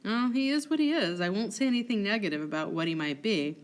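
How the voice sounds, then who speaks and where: A woman voicing a scheming male officer in a play read aloud, measured and insinuating, feigning reluctance. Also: mid-range voice, lively intonation, lightly acted. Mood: feigned reluctance.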